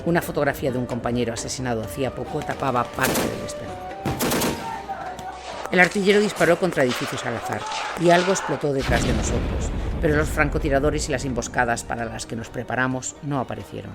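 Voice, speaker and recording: A man's voice: medium pitch, quick pace, vocal fry. Male narrator over prominent music. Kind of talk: scripted dramatic narration of a war story. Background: instrumental music.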